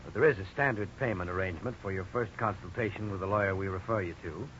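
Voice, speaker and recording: Brisk, fast-talking legal official. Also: male voice, deep voice, fairly bright tone. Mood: courteous, businesslike. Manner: formal, precise, businesslike. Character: brisk, businesslike male legal official, polite and formal. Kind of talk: 1950s radio drama acting. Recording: dull, noisy 1950s radio recording.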